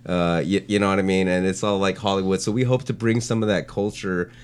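A man with a fairly low voice hosts a loose, casual conversation. He rambles in slang, padding his sentences with "like" and "you know" as he goes. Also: steady tone, a little fast, clear, resonant tone. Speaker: podcast co-host, street art blogger. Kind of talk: casual chat.

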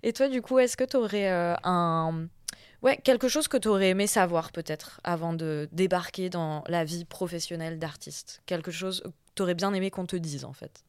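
A woman hosting a podcast interview in a relaxed, chatty way, murmuring agreement often. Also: on the low side, moderate pace, smooth, no echo, clean studio sound. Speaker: podcast host. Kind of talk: casual conversational interview.

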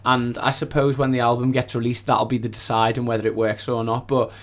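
Male recording artist, mid-pitched voice, chatty and informal, relaxed studio interview delivery. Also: fairly quick. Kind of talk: casual studio interview chat.

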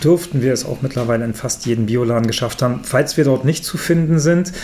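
A man's voice: mid-range voice, fast, mellow. Male food producer guesting on a podcast, hesitant and full of fillers, medium voice, roomy recording with faint noise. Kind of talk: casual conversation.

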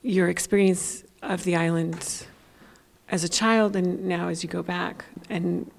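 A woman's voice: fairly low pitch, steady tone, measured pace, vocal fry, a little hiss or hum. A woman interviewing a guest, asking long, winding questions with hesitations. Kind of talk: conversational interview.

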